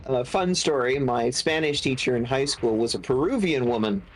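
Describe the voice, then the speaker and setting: A man in his forties chatting casually and telling anecdotes with animated quoted voices. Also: mid-range voice, normal speed, low-quality recording. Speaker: podcast guest. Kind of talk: casual chat.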